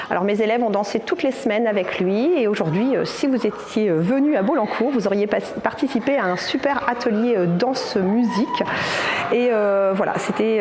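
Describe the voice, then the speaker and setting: A woman's voice: on the high side, background noise. A woman who teaches at a village school, speaking hesitantly with frequent fillers in a local news interview. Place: her school.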